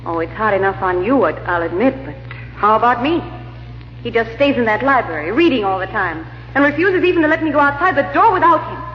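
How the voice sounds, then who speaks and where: An actress playing a discontented, scheming countess and disdainful wife, haughty and impatient, in old-time radio drama style. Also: female voice, a little high, lively intonation, brisk, slight background noise, lightly acted. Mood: exasperated, disdainful. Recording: echoey, reverberant, muffled old radio recording.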